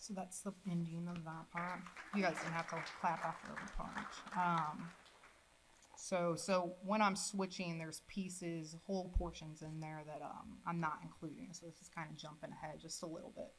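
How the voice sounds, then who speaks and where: A woman's voice: on the low side, flat delivery, moderate pace, fairly bright tone, a little hiss or hum, low-quality recording. A woman at a college event reading her poems aloud in a declamatory, rising voice, with casual remarks to the audience in between. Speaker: poet reading her own work. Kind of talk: spoken-word poetry reading with asides.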